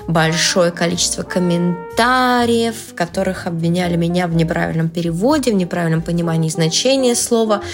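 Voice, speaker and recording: A young woman from Russia, a translator, explaining things in a casual, playful vlog with slangy asides and animated emphasis on key words. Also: on the low side, a little fast, hardly any pauses. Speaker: translator and Italian language blogger. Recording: music playing loudly under the voice. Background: background music.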